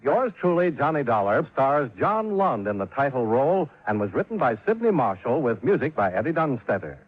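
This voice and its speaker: A man's voice: mid-range voice, sing-song, fairly quick. A man announcing credits in 1950s radio style. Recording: tinny old radio recording. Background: faint music.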